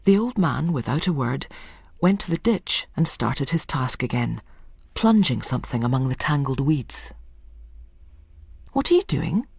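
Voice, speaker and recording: A woman with a husky, low voice narrates literary fiction as a storyteller. Her delivery is unhurried and descriptive, recorded close and dry. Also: expressive, darkish tone, no echo.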